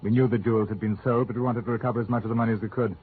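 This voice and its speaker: A man's voice: fairly low pitch, flat delivery, rapid-fire, natural voice. An actor playing a blunt, hard-nosed male investigator in an old radio drama. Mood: stern. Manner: blunt, curt, tough. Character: brusque, hard-nosed American insurance investigator. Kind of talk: 1940s-50s radio drama acting. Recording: tinny, noisy old radio recording.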